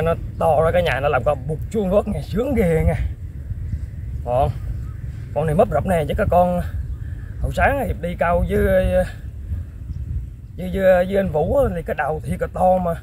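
A young man excitedly commenting to viewers while fishing outdoors, exclaiming often. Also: fairly high pitch, a little hiss or hum. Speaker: fishing vlogger. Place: outdoors in fields.

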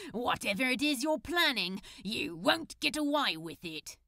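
A woman's voice: on the high side, sing-song, slow pace, creaky, pushed and bright, no room sound, studio quality, exaggerated voice. A woman voicing an easygoing, friendly male superhero in a story reading, with casual, hesitant speech. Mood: defiant. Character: laid-back, friendly male superhero captive. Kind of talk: fan fiction audiobook character.

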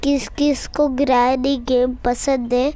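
A woman's voice: sits high, little pitch movement, slow pace, dark tone. A young girl giving casual, chatty and playful commentary on a game she is playing. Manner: chatty, playful, breaks into nonsense sounds and singing. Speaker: gamer commentating on own play. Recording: music behind the voice.

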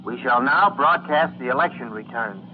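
A man with an American accent and a husky voice announcing formally in 1920s radio style. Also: medium pitch, animated, background noise. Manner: formal, measured. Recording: muffled old-time radio recording.

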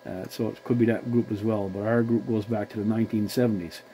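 Mellow, low-voiced man on CB radio. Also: steady tone, brisk, slight background noise. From Newfoundland, Canada. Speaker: CB radio operator. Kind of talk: casual radio contact chat with call-sign procedure.

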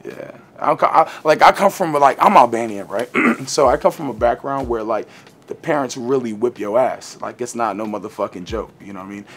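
A man's voice: mid-range voice, normal speed. A young man talking casually in an interview, laid-back, swearing freely and filling gaps with "uh" and "you know". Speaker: rapper guest. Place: studio, green-screen set.

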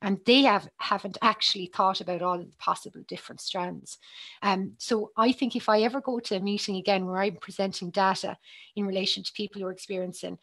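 A woman's voice: medium pitch, fairly even intonation, a little fast, a bit bright, low-quality recording. A woman, a psychologist speaking earnestly on a panel over a video call, with many ums and restarts. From Ireland. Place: small room with bookshelves, indoors. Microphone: headset on a video call.

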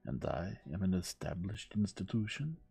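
A man playing a courteous, pensive gentleman detective in an audiobook, measured but firm. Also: deep voice, big pitch swings, gravelly, slightly rough, faint music behind, voice pushed up, full character voice. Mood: reproving.

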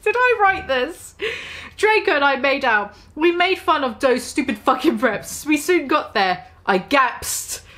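A young woman with a high voice delivers a comic dramatic reading. She is theatrical and mock-serious, overplaying the text and stepping out of it with amused remarks. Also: animated, a little slow, sharp tone. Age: 20s. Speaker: online video host. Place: small room at home.

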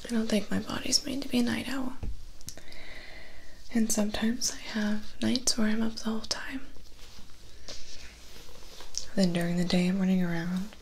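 A young woman in her twenties playing a tired, stressed vampire's personal assistant in soft, breathy, close-up ASMR roleplay, murmuring with dry resignation. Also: medium pitch, fairly even intonation, a little hiss or hum, close to an everyday voice. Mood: tired, resigned. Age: 20s. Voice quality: breathy, near-whispered soft speech. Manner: slow, hesitant, weary murmuring. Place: small indoor studio with dark backdrop.

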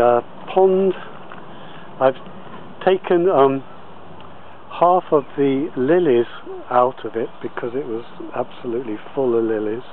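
Male amateur gardener, unhurried and hesitant tour narration, outdoor handheld camera sound, slightly dull. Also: mid-range voice. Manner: halting, pausing often mid-sentence. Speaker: allotment gardener filming a tour. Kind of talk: informal video tour commentary. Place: outdoors on an allotment. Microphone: handheld camera held by the speaker. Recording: muffled lo-fi camera audio, outdoor noise.

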